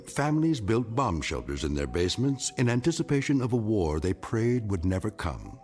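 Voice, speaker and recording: An actor playing a grave, measured narrator of eerie fables in a radio drama, in a solemn, portentous manner. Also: male voice, fairly low pitch, a little mellow. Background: music.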